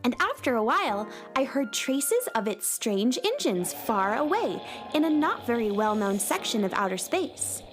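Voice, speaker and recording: A woman's voice: a little high, very animated, moderate pace, hardly any pauses, faint music behind. A woman playing a plucky young space cowgirl who narrates and acts in a children's radio serial. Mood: excited storytelling.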